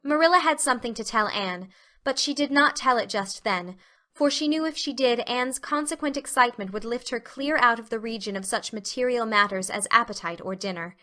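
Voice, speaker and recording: A woman's voice: on the high side, brisk, pushed and bright. A woman reading scripted literary narration as the narrator of an audiobook.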